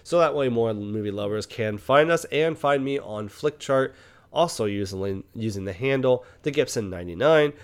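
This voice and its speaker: Male podcast host, medium pitch, informal film-review chat, conversational and question-prone. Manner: conversational, rhetorical check-in questions.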